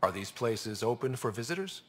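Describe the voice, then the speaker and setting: An actor playing a friendly, curious young human space explorer in a video game, conversational and inquisitive. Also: male voice, mid-range voice, big pitch swings, normal speed, natural voice.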